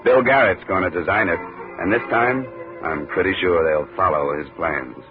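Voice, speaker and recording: An actor playing a terse, cynical, world-weary American investigator in a 1950s radio detective drama. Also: male voice, deep voice, normal speed, natural voice. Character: hard-boiled, cynical male investigator. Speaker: lead actor narrating as an insurance investigator. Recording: tinny 1950s radio recording, loud music.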